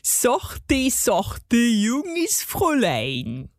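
A cheeky, ill-tempered male garden gnome from a storybook acted out by a woman narrator. He scolds in rough everyday speech with a bandit's swagger. The sound is dry and close. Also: a little high, wildly swooping pitch, very slow, no echo, exaggerated voice. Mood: soothing, smug. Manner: scolding, gruff colloquial speech.

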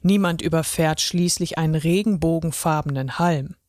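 Storybook figure: gentle, deep-voiced pen ticket inspector, voiced by a woman, low and warmly encouraging, dry recording. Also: normal speed, dry recording, close to an everyday voice. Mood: reassuring. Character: kindly male pen ticket inspector with mustache. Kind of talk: children's story reading.

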